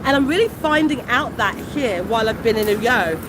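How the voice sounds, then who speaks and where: British woman, forties, high voice, chatty livestream, exclamatory, outdoors, phone at arm's length, loud street traffic behind. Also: lively intonation, normal speed. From United Kingdom. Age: 40s. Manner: chatty, exclamatory, warm asides. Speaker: vlogger. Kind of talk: casual livestream chat. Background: passing traffic.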